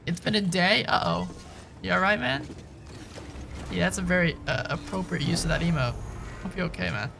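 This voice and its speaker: A man's voice: sits high, moderate pace, vocal fry, pauses often, music in the background. A man streaming a game, chatting casually with viewers in informal slang and commenting as he plays. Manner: informal, slangy, says dude and man often. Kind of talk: casual live-stream chat and gameplay commentary.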